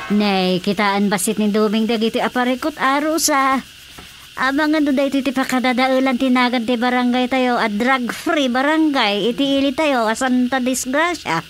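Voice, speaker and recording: A woman's voice: high voice, steady tone, normal speed, slight background noise, lightly acted. An actress with an Ilocano accent playing a worried, gossiping village mother in a radio drama. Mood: concerned.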